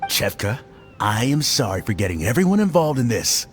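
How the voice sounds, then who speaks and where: Game casting: defiant, regretful comrade, husky mid-range voice with lively inflection. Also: male voice, mid-range voice, normal speed, soft background music. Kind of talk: video game character acting.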